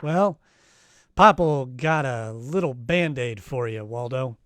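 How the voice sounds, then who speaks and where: A man's voice: medium pitch, expressive, slow pace, fairly bright tone, no echo. A man playing a sardonic, wisecracking private detective in the style of 1940s radio drama. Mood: taunting. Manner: sardonic, taunting, wry. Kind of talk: 1940s radio detective acting, live performance. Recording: noisy home live-stream recording.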